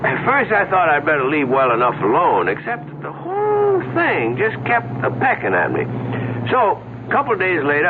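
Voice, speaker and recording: Bumbling folksy deputy, voice swooping high. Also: male voice. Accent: American. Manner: folksy, halting, repeats words, naive. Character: bumbling, eager, slow-witted young rural deputy sheriff sidekick. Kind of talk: 1970s radio drama acting. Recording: muffled phone-like old radio broadcast, vehicle noise.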